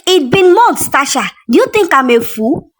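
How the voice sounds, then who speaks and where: A Nigerian woman voicing a furious fashion brand owner who loudly scolds and accuses a cheat. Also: expressive, a little fast. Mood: accusing. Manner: loud, accusing, shrill scolding.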